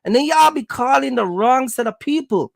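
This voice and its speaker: A man's voice: very high voice, expressive, normal speed, creaky, dry recording, studio quality. A man hosting a casual Q&A for viewers, giving business advice in colloquial, slangy phrasing and repeating his points for emphasis. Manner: repetitive, emphatic urging.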